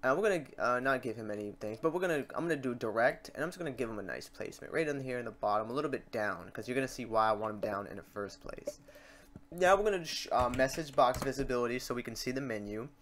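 A man hosting a casual, step-by-step software tutorial, talking informally to his viewers. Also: mid-range voice, expressive, fast. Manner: informal, addressing viewers as guys. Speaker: tutorial host. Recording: clear background noise, keyboard typing, clicks. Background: keyboard typing and mouse clicks.

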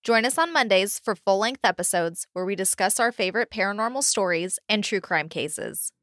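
A woman's voice: mid-range voice, lively intonation, moderate pace, fairly bright tone, no room sound, clean studio sound. A woman podcast co-host reading a casual scripted promo.

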